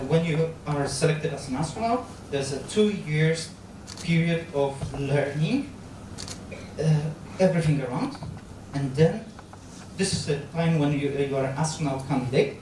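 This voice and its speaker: A Polish-accented man in his thirties gives a public lecture on stage, pausing often and restarting his phrases. His voice sits fairly high and rings through a very echoey hall. The sound is picked up from a distance over a clear background hum. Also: a little mellow. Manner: halting, with frequent fillers, restarts and gestures. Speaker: guest lecturer on astronaut training. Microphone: handheld microphone. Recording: very echoey hall, captured from far off.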